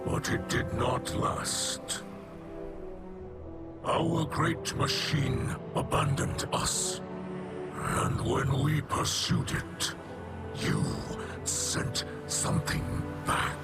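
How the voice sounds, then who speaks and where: Very slow, whispery alien elder. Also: male voice, medium pitch. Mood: mournful, grave. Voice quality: breathy, whispery. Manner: slow, grave, deliberate storytelling. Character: solemn alien elder recounting his people's horrors. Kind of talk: dramatic cutscene narration. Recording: loud music under the voice. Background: music.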